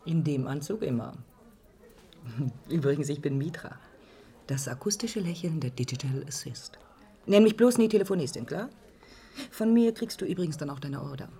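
A woman playing a cheeky, sardonic receptionist in a radio drama, brisk and teasing with an ironic edge. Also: low voice, lively intonation, mellow. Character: cheeky, sardonic Iranian-born woman receptionist at tech firm. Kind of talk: German radio drama acting.

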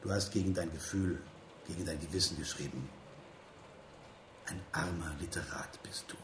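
Tiefer, höhnisch-bitterer Mann im halligen Raum Schauspieler. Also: male voice, deep voice, natural voice. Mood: scornful, bitter. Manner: scornful, deliberate, broken into short emphatic phrases. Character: bitter, mocking man needling a failed writer friend. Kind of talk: radio play dialogue. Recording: roomy echo with noisy ambience. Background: ambient noise.

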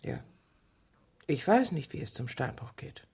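An actress playing a bitter, lovesick middle-aged woman who has turned killer, speaking in a deliberate, sardonic, brooding way in a radio drama. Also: very deep voice, big pitch swings, gravelly, a little mellow, slightly rough, no echo, full character voice. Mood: dry, probing. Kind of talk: radio drama acting with intimate inner monologue.

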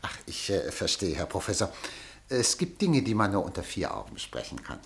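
A smooth, fawning newspaper director in a radio play, his pitch rising and falling in lively swings. He speaks in an insinuating, flattering way. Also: fairly quick, heavily acted. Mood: ingratiating. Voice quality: ingratiating and insinuating.

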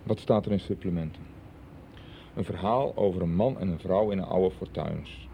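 Grave man, dark creaky voice. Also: low voice, moderate pace, slight background noise. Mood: grave, insistent. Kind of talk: 1990s Dutch radio soap acting.

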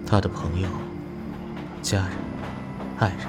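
A man's voice: low-pitched, little pitch movement, measured pace, over loud music. A man acting an anxious young man caught in a deadly subway game, in dramatic inner monologue. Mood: fearful, brooding.

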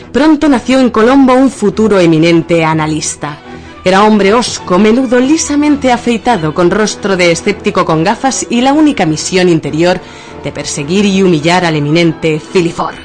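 A woman narrating a radio drama in a dramatic, literary and deliberate style. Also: mid-range voice. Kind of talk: theatrical scripted narration of absurdist radio fiction. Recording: music bed under the voice.

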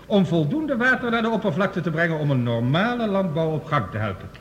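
An actor playing a formal scientist addressing an assembly in a radio drama. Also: male voice, a little high, sing-song, brisk. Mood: formal, explanatory. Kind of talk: Dutch radio drama acting, formal speech. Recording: dull, noisy, reverberant hall recording.

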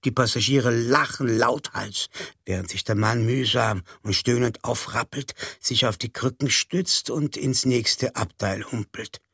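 A man's voice: mid-range voice, unhurried, a bit bright, breathy edge, dry recording, studio quality. A man playing a courteous, droll announcer in a radio play, formal and wry in manner. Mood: dry, deadpan. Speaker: presenter.

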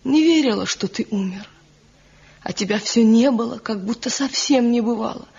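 An actress in a 1970s radio play voicing a village wife torn between husband and former love, earnest and sorrowful. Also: female voice, on the high side, normal speed, creaky, a little mellow, slightly rough, exaggerated voice. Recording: dull lo-fi old radio recording.

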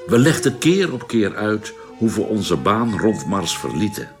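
A spaceman recounting his journey in a radio play. The man's voice is very deep and his delivery slow and orderly. Faint music plays beneath the scripted narration. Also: very animated. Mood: measured, recounting.

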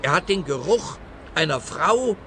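A man's voice: on the high side, lively intonation, measured pace, gravelly, heavy background noise. A man playing an earnest, officious official who explains things solemnly in a radio play. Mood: solemn, pleased.

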